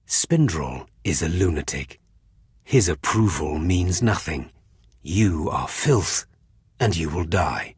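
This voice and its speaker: An actor playing a cruel, contemptuous Sith overseer in a video game, sneering and commanding with a husky, menacing voice. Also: male voice, low-pitched, lively intonation, slow pace, no room sound, clean studio sound, heavily acted. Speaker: villainous trial master in a video game. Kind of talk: scripted game voice acting.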